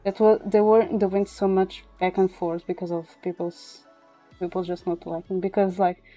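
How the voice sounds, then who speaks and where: A woman's voice: lowish voice, little pitch movement, normal speed, mellow, soft background music. A woman whose first language is Portuguese, chatting casually on a livestream and sometimes searching for English words. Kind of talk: casual chat. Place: indoor livestream with music behind.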